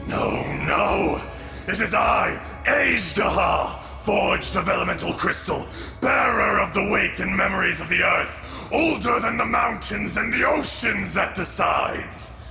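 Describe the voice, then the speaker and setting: Gravelly, raging ancient dragon. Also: male voice, high voice, measured pace, heavily acted. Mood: furious, defiant. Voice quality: heavily gravelly. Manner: declamatory, grandiose, contemptuous. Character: furious ancient male elemental dragon, proud and bitter. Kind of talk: dramatic video game voice acting. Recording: loud dramatic game music underneath.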